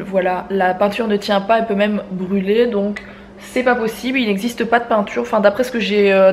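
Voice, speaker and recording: A woman's voice: mid-range voice, slight background noise. A young woman with a stuffy, congested head cold, chatting casually to camera in a home vlog, with many fillers. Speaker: family vlogger. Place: at home, indoors.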